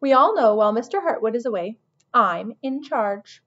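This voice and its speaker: A woman voicing a self-important, excitable male lizard hotel clerk in a children's storybook, with a pompous, emphatic manner. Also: on the high side, moderate pace, muffled recording, pitched above the performer's own voice. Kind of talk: children's audiobook character voice.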